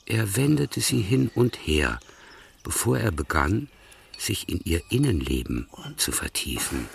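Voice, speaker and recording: Deep, creaky male radio-play storyteller. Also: very animated, measured pace, a little hiss or hum, full character voice. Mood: measured, wry. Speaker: narrator. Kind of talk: literary radio play narration.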